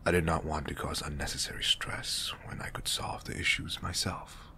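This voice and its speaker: A man playing a proud, guarded department head in an audio roleplay, formal and measured, in a breathy half-whisper. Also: extremely low pitch, fairly even intonation, pushed and bright, full character voice. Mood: guarded, defensive. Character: proud, stubborn male department head, guarded before his boss.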